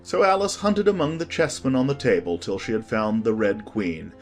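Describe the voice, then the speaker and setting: A man's voice: medium pitch, normal speed, soft background music. A man reading a classic storybook as an audiobook narrator, in a scripted storytelling style.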